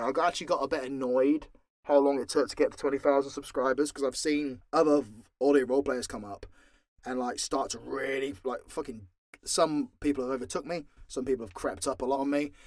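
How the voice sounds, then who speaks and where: A man's voice: mid-range voice, dry recording. A British man giving a frank, sweary, rambling and informal Q&A chat, close on the mic. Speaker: audio role-play creator and voice actor.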